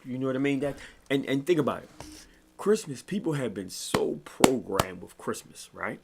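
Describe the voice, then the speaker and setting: A man's voice: mid-range voice, lively intonation, moderate pace, creaky, heavy background noise. A man co-hosting a casual late-night talk show, rambling and sarcastic. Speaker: co-host.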